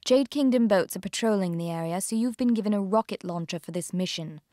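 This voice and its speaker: An actress playing a poised, dangerous female pirate adviser in a video game, speaking in a cool, measured, formal manner. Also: medium pitch, dark tone, dry recording, studio quality, lightly acted. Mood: silky, commanding. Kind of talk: video game cutscene acting.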